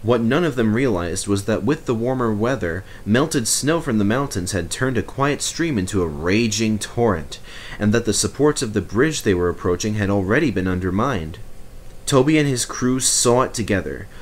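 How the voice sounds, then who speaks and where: A man reading a children's story aloud in expressive, scripted narration. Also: fairly low pitch, normal speed, a little hiss or hum. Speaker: storybook reader.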